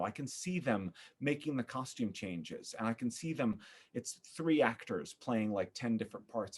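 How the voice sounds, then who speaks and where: A man's voice: medium pitch, breathy edge. Male podcast guest, mid-range voice, reflective, stop-and-start conversational delivery. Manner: thoughtful, halting, repeats words as he searches for phrasing. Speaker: podcast guest, theater maker. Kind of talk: conversational interview talk about theater.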